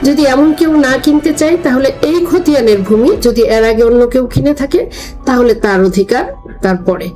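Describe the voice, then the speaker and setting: A woman's voice: sits high, moderate pace, warm tone, faint music behind, poor audio. A woman, a co-host who explains law in a deferential way, chiming in to clarify. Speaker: co-host, former district judge. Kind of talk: explanatory legal discussion.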